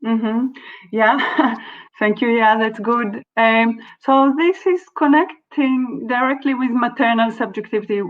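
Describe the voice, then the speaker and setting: A woman from Israel, an artist and researcher, answering a question in an online seminar with many pauses and restarts. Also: fairly high pitch, narrow, tinny audio. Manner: hesitant, many fillers and restarts. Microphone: video call.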